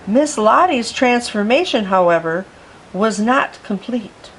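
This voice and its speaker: A woman reads a children's picture book aloud at a slow, unhurried pace. Her voice sits fairly high and her storytelling is gentle and expressive. A faint hiss runs under the recording. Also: low-quality recording. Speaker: storytime reader.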